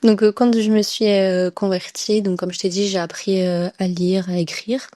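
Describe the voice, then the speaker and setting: A woman's voice: medium pitch, level tone, normal speed, warm tone, dry recording, studio quality. A young woman tells her story casually as a podcast guest, hesitantly and repeating words for emphasis. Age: young adult.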